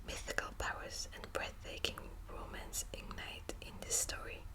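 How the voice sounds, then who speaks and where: A woman's voice: normal speed, poor audio. A woman whispering close to the mic in an ASMR book video, hesitant with many ums and restarts, also reading passages aloud. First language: Dutch. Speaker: ASMR creator.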